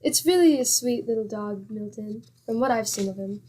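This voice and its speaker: An actress playing a patient, good-humored wife with a teasing, exclamatory manner in a 1940s-style radio comedy. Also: female voice, a little high, normal speed, mellow, lightly acted.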